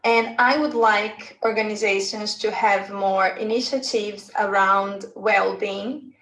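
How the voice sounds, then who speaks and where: Slow-speaking woman. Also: medium pitch, steady tone, fairly bright tone, reverberant, low-quality recording. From Brazil. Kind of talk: short recorded statement.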